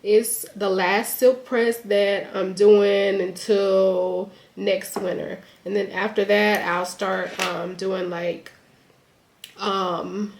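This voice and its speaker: A woman's voice: mid-range voice, flat delivery, very slow, creaky. Chatty young woman, slightly congested. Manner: chatty, lots of "like" and "um". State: a bit congested. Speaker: YouTuber. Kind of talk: casual sit-down chat to camera. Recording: echoey room.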